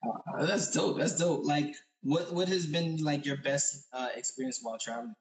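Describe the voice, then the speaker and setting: A man's voice: on the high side, moderate pace. Male interview host, easygoing and slangy, rambling questions, echoey room. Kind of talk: casual interview chat.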